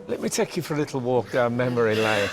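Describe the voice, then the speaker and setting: A man's voice: mid-range voice, very animated, quick pace, music in the background. A man in his fifties hosting an art competition in a playful, chatty way. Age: 50s. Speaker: host. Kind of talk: casual chat.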